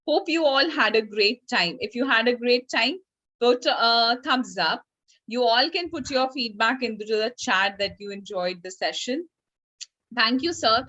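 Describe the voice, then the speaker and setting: Lady moderating a kids online class, slightly high voice, polite. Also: bright, forceful tone. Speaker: host moderating a children's session. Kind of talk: polite online event hosting.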